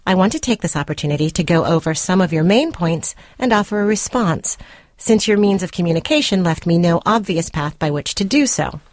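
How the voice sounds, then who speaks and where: A woman acting a controlling, jilted girlfriend in a radio short story. Her lowish voice is officious and precise, coldly formal and condescending. The sound is close and dry, as in a studio. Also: no echo, close to an everyday voice.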